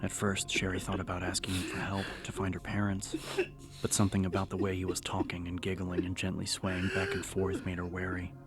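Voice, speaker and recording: A man narrating a scripted horror audiobook in a measured, serious storytelling manner. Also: deep voice, monotone. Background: music.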